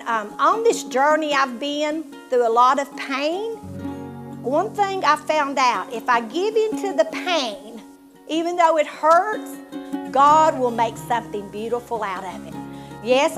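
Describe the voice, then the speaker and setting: A woman with a Tennessee accent hosting her own show, giving an earnest personal testimony and dropping to a hush at painful moments. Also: high-pitched, lively intonation, measured pace, over music. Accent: Southern US, Tennessee. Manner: earnest, heartfelt, drops to a hushed tone at painful moments. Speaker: show host.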